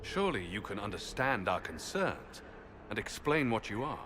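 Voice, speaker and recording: A man's voice: lowish voice, big pitch swings, moderate pace, slight background noise. A man playing a wary, battle-seasoned heroic champion in a video game, talking in a cautious, questioning way. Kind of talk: dramatic video game dialogue. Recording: game audio with loud background ambience.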